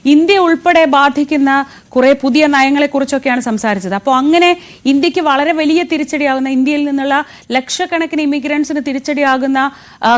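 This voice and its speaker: A woman anchoring live news and putting questions to a correspondent. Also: high voice.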